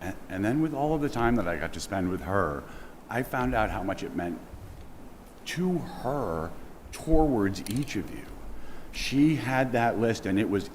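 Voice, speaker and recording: A man in his fifties speaking at a memorial service in a church, talking loudly and casually, mixing a prepared reading with off-script remarks. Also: fairly low pitch, very animated, measured pace. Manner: loud, casual speaking with chuckled asides. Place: church sanctuary. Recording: long church reverb, faint background hiss.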